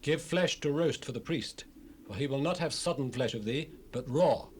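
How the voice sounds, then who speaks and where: A man's voice: medium pitch, moderate pace, natural voice. A man playing an insistent priest's servant of ancient Israel in a dramatized scripture reading, using formal archaic language, on an old cassette. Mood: demanding. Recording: noisy unprocessed 1970s cassette rip.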